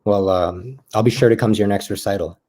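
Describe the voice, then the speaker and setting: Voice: low-pitched, fairly quick, dark tone. An actor playing an awkward, eager young adult catching up with an old friend in naturalistic play dialogue, hesitant and rambling. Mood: fond. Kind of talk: naturalistic play dialogue over video call.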